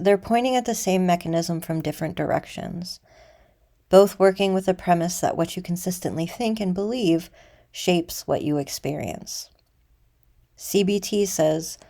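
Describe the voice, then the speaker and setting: A woman teaching a guided meditation in a measured, deliberate way, leaving pauses between phrases. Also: fairly low pitch, creaky, mellow.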